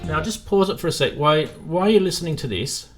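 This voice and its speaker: A man's voice: fairly high pitch, lively intonation, brisk, faint music behind. Casual man hosting a live stream.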